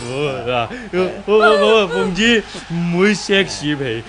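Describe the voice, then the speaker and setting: An actor in a Cantonese radio drama playing a leering, teasing thug with a stammering delivery. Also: male voice, sits high, lively intonation, slow, over loud music. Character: leering, teasing male thug, sworn brother.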